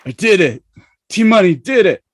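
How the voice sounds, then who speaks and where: A man's voice: high-pitched, animated, a little slow, a faint crowd, slight background noise. A man playing a cocky, boastful party-boy ghost in an online sitcom table read. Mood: triumphant. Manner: cocky, casual. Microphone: video call.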